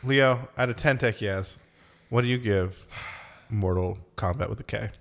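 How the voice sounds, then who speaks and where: A man's voice: on the low side, measured pace. A man co-hosting a podcast, chatting casually about movies in a loose, conversational way and piecing his thoughts together as he goes. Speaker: podcast co-host, movie reviewer. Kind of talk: casual movie review chat.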